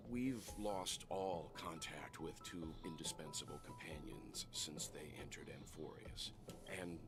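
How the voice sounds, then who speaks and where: A man voicing a mature, courteous and earnest traveler in a video game, speaking in a measured, polite way. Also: rumbling low, over loud music. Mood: grave.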